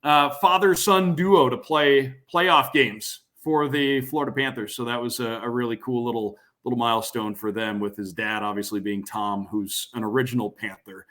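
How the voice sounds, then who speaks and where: A man's voice: fairly low pitch, a bit bright. A man who works as a radio play-by-play announcer, giving casual hockey analysis as a podcast guest. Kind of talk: casual sports analysis in an interview.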